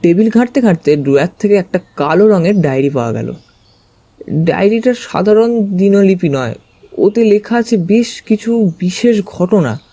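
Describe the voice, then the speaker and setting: A man's voice: sits high, expressive, moderate pace, warm tone, dull audio. A man narrating a story dramatically in the first person.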